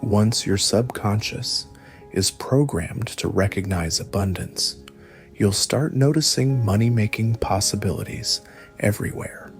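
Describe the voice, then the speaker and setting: A man narrating a scripted guided sleep hypnosis in a soothing, measured way, with breathy phrase endings. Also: fairly low pitch. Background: background music.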